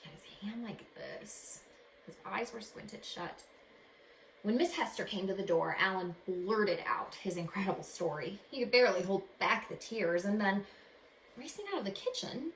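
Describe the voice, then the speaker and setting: A woman teacher reading a storybook aloud to children in an expressive way. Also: mid-range voice, a little slow. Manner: expressive, addresses young listeners with questions. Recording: echoey room with faint background noise.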